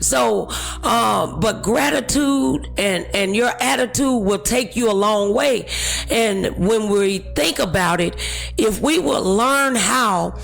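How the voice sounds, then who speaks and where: A woman's voice: a little high, slow pace, gravelly, faint music behind. A woman with an American accent hosting a motivational talk show, speaking in an encouraging, preacher-like way.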